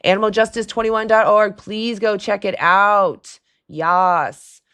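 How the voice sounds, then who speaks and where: A woman hosting a casual livestream call-in with a raspy, hoarse voice. She chats loosely, laughs often and talks back to her chat. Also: medium pitch, steady tone, takes it slowly, a bit bright. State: hoarse throat.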